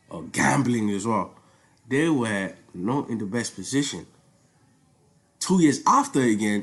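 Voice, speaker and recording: Young man with a Nigerian accent. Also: mid-range voice. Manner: conversational and slangy, with emphatic repetition. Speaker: student presenter. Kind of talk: informal spoken project presentation.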